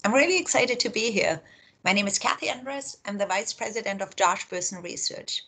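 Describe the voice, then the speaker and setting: A woman's voice: medium pitch, expressive, fairly quick, pushed and bright, breathy edge. A middle-aged woman with an Austrian German accent, a research vice president giving an upbeat, explanatory prepared talk from her home office.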